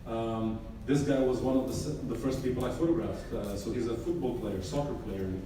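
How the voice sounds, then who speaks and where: A middle-aged photographer from Israel talks through his portraits in a loose, joking way, full of ums and asides. He is caught from a distance in a very echoey room with clear background noise. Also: mid-range voice, brisk, warm tone. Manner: casual storytelling with frequent fillers and wry humor. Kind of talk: artist talk about his photographs. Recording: echoey room, mic far off, noisy.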